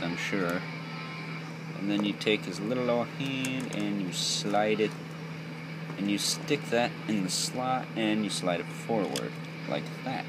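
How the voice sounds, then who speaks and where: A man's voice: lowish voice, very noisy. A young man giving a casual, off-the-cuff build tutorial in a rambling, jokey, self-deprecating way. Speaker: toy build video host.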